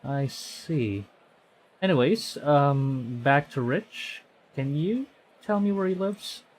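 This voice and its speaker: A man's voice: fairly high pitch, animated, takes it slowly. A man reading a visual novel aloud on stream, conversational, often stumbling and correcting himself. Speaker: VTuber streamer.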